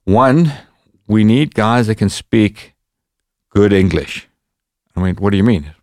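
Podcast guest, Zimbabwean man, low-ish voice, animated storyteller, dry room. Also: slow, no room sound. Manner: animated anecdotes, lively quoted dialogue. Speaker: podcast guest, former bodyguard. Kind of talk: casual storytelling.

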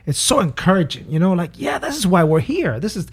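A man's voice: high-pitched, very animated, a little fast, vocal fry, breathy edge. A man who grew up in Spain's Canary Islands with Spanish as his first language, chatting as a pastor on a church podcast with ready laughs and restarted sentences. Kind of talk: casual podcast chat.

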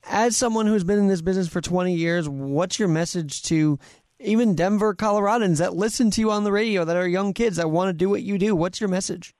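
A man's voice: high voice, fairly quick, darkish tone, no room sound, clean studio sound. An American man in his twenties, hosting a sports interview with casual, conversational questions. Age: 20s.

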